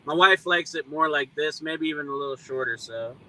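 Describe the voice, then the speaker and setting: A man from the United States, easygoing and relaxed, chats on a live stream. His voice is slightly high, with a very bright, forceful, sharp edge. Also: fairly quick, rough recording. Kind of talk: casual chat.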